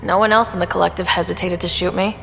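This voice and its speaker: Determined space explorer, reverberant. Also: female voice, lowish voice, fairly even intonation, quick pace, creaky, fairly bright tone, slight background noise. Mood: inquisitive, businesslike. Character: determined young woman explorer leading a sci-fi expedition. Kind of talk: video game voice acting.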